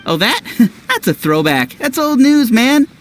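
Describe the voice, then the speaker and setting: A man's voice: very high-pitched, big pitch swings, unhurried, slight background noise, heavily acted. An actor voicing a young townsman in a game dub who dismisses old gossip in an offhand, know-it-all way. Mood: dismissive.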